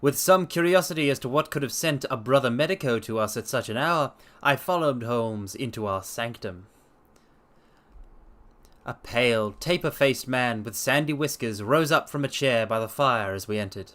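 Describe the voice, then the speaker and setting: A man streaming a dramatic story reading, with theatrical, expressive delivery and casual asides. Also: medium pitch, moderate pace. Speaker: streamer and reader.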